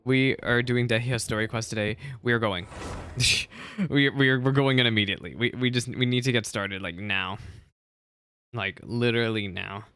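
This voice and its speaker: A man casually streaming a game, chatty and excitable, repeating himself often as he talks. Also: medium pitch, normal speed, clear, resonant tone, no room sound. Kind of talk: casual livestream commentary.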